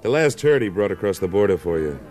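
A man's voice: fairly low pitch, very animated, fast talker, a little mellow. An actor playing a tough, laconic American cattleman in an old-time radio western, speaking in a terse, self-assured way. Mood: cool, confident. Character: tough, laconic cattleman gunslinger, a former cavalryman. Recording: old radio recording, faint music.

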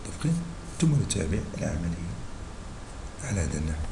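A male math teacher with a Moroccan Arabic accent, hoarse and husky from a cold, explaining a lesson slowly and patiently and checking in with his students. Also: deep voice, very animated, noisy background. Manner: slow and patient, checking in with listeners. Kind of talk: screen-recorded math lesson.

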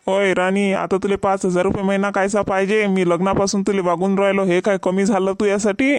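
A man's voice: high voice, flat delivery, brisk, clean tone, no room sound, close to an everyday voice. A man voicing an exasperated village husband in a comedy cartoon, complaining sarcastically in a Vidarbha Marathi accent. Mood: sarcastic. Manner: complaining, sarcastic rustic banter. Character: exasperated, grumbling village husband with long-suffering temper.

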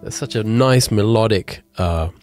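A man's voice: on the low side, a little slow. A man in his thirties, stuffy and congested, hosting a podcast from his home music studio in a rambling, frank way, correcting himself as he goes. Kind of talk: casual solo talk while playing piano. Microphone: studio mic on boom arm, headphones on. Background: his own piano playing.

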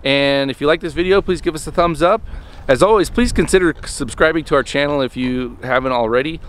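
A man's voice: a little high, a little fast, a bit bright, slight background noise. A man in his thirties talking casually to a handheld camera outdoors on his farmstead, rambling with many ums and restarts. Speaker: homestead vlogger. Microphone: handheld camera at arm's length.

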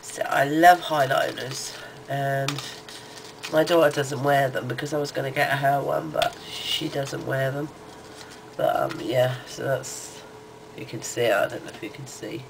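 A woman's voice: extremely low pitch, unhurried, vocal fry. A middle-aged woman with a cold, sounding nasal and congested, chatting loosely through a makeup haul with plenty of ums. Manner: rambling, hesitant, lots of ums. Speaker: beauty vlogger. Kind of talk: casual makeup haul chat. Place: small cluttered bedroom, indoors. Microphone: webcam close to the face. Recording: noisy home webcam audio.

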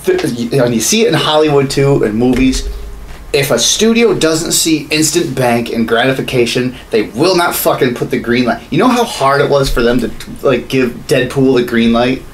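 A man in his thirties rants in casual, profanity-laced chat as a podcast co-host. His pitch is average, and the small-room recording has clearly audible noise behind him. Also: lively intonation, moderate pace. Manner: ranting, profane. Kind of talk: casual opinionated chat about games and movies. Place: small home studio room, indoors.

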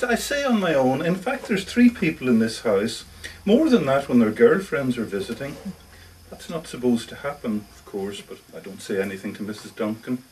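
A man's voice: mid-range voice, a little mellow, recorded from a distance, poor audio. A man reading a short story monologue as a lonely, socially awkward older lodger, confiding, hesitant and defensive, correcting himself as he goes. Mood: proud, confiding. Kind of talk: first-person short story monologue read aloud. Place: live reading in a bookshop.

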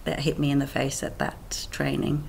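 A woman's voice: extremely low pitch, little pitch movement, normal speed, gravelly, darkish tone, a little hiss or hum. A woman in her fifties with a South African accent, answering interview questions in a candid, chatty way. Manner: candid, chatty, laughs easily. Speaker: sales trainer guest.

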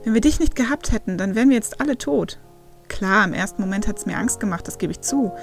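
A woman plays a caring young man in an audiobook. The friend sounds earnest, soothing and forgiving, at a medium pitch with faint music underneath. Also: lively intonation, fast talker, close to an everyday voice. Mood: reassuring. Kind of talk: acted audiobook dialogue.

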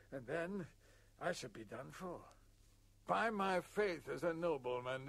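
A man's voice: on the high side, no room sound. A man playing an ageing giant nobleman in a storybook reading, theatrical and declamatory, given to melodramatic exclamation. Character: ageing giant nobleman, bellowing melodramatically between grief and glee.

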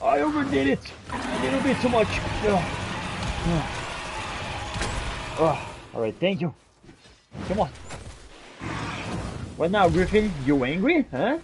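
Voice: medium pitch, big pitch swings, takes it slowly, creaky, long pauses, noisy background. A video game player doing in-character roleplay commentary, exclaiming and talking directly to the game's creatures and characters. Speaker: gaming video host roleplaying while playing.

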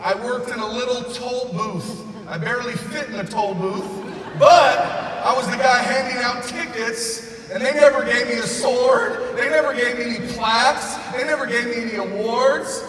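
A man in his thirties giving a loud, excited motivational speech on a big convention stage, often shouting to the crowd. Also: extremely high pitch, moderate pace, noisy background. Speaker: convention speaker. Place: large convention arena stage. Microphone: far recording from the audience. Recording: echoey arena recorded from far off.

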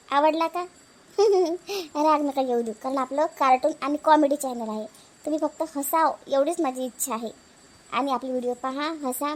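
Woman, high voice, Varhadi Marathi accent, casual cartoon channel host. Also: darkish tone.

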